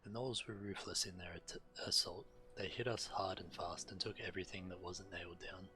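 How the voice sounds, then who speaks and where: A man's voice: sits low, fairly even intonation, brisk, pushed and bright, soft background music. A man streaming a video game, reading quest text aloud and commenting casually.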